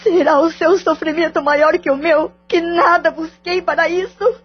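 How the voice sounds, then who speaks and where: A tearful betrayed wife. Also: female voice, extremely high pitch, fairly even intonation, normal speed. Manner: tearful, pleading, wounded. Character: betrayed grieving wife and mother. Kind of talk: radio drama acting. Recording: dull lo-fi audio with faint music.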